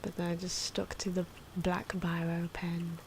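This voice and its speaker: A soft-spoken, sometimes whispery woman giving unscripted, musing ASMR commentary as she draws, correcting herself as she goes. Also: on the low side, level tone, measured pace, vocal fry, noisy background. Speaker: ASMR artist narrating own drawing. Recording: clock and pen noises behind. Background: ticking clock, pen scratching.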